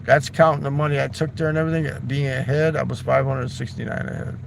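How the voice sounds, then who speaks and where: A man's voice: medium pitch, little pitch movement, quick pace, noisy background. A man telling a long casual story, loose and chatty, swearing freely and tossing in "right?" and "man". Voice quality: gravelly. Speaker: livestreamer.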